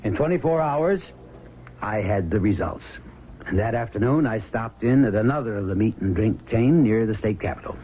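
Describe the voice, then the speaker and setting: Man acting methodical police sheriff, deliberate, folksy, low-pitched, 1970s radio drama, narrow muffled sound. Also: animated, natural voice. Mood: matter-of-fact testimony. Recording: muffled, noisy old radio broadcast.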